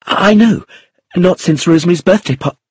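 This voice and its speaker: A man's voice: a little high, wildly swooping pitch, measured pace, husky, dry recording, clean studio sound, voice pushed up, exaggerated voice. A man voicing an earnest, emotional young woman of means in an audiobook. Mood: polite, flustered. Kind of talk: audiobook character voice.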